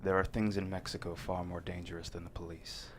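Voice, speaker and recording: A man's voice: deep voice, little pitch movement, fairly quick. An actor at a screenplay table read playing a menacing male killer who talks with polite, condescending civility. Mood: calm warning.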